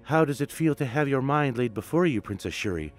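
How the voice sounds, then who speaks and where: A man's voice: medium pitch, animated, brisk, faint music behind, pitched above the performer's own voice, natural voice. A man playing a scheming female royal guard captain hungry for war in a comic book retelling, coaxing and dramatic.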